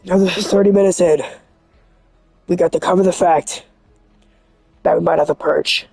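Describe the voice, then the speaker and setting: Hoarse, high-voiced man rambling on a livestream. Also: normal speed, dry recording, soft background music. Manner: rambling, earnest and excitable. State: hoarse, scratchy voice. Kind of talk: casual livestream monologue.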